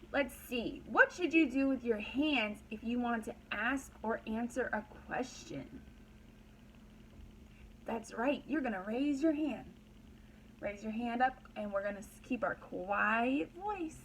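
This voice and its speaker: Slow-talking woman teacher reading to little kids. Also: a little high, a bit bright, slight background noise, low-quality recording. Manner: instructional, simple phrases with repetition and prompting questions. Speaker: pre-kindergarten teacher. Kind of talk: read-aloud lesson for young children.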